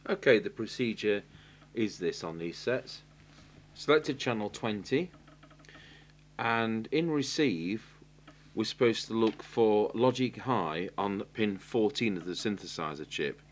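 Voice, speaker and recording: A middle-aged man with an English accent gives an unscripted hands-on technical tutorial, halting and matter-of-fact as he thinks aloud while working. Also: lowish voice, animated. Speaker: radio repair technician giving a demonstration. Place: indoor electronics workbench. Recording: clear hiss and electrical hum.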